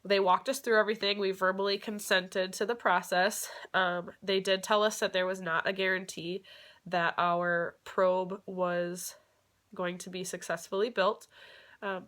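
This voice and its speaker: A young woman with a stuffed-up nose from a cold talks casually and openly, with plenty of ums and the odd laugh. Her voice is bright and forward. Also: medium pitch, fairly even intonation, normal speed. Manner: chatty, many fillers, occasional laughs. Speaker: vlogger. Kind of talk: casual vlog explanation.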